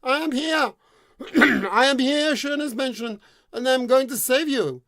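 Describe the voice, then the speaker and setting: A man voicing a fat, dim-witted prince who shouts grand declarations in a mock German accent. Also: high-pitched, big pitch swings, normal speed, no room sound, clean studio sound. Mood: shouting.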